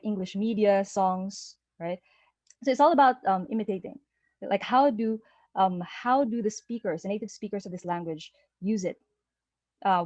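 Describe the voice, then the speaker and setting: A woman's voice: mid-range voice, a little mellow, no echo, muffled recording. A Filipino woman in her thirties, a native Tagalog speaker, gives an online presentation over a video call. She speaks in a friendly way, often checks in with "okay?" and uses many "uh" fillers. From Philippines. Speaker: guest speaker.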